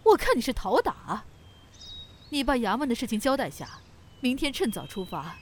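Animated, nagging mother played by a woman. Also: fairly high pitch, a little hiss or hum. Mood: scolding. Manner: scolding, brisk commands. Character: bossy, nagging middle-aged mother of grown son. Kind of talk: Chinese period audio drama acting.

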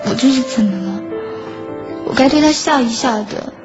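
A woman's voice: fairly high pitch, normal speed, natural voice. A woman playing a lovelorn schoolgirl in a radio drama, narrating her heartbreak in a wistful, earnest way. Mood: sorrowful. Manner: wistful, earnest, softly self-effacing. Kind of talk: Chinese radio drama acting with inner monologue. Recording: dull lo-fi audio under clear music.

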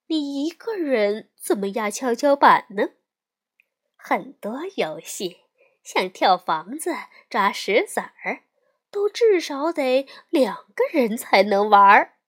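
A woman voicing a gentle mother bear who speaks calmly and softly. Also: on the high side, slow, husky, full character voice. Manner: calm, soothing, soft.

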